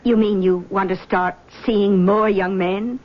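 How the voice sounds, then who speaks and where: A woman's voice: mid-range voice, fairly even intonation, a little slow, close to an everyday voice. An American actress playing an anxious, fussy, easily wounded middle-aged mother in a 1970s radio drama. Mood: worried, wounded. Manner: fussy, fretful, pleading. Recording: dull, faintly noisy 1970s radio recording.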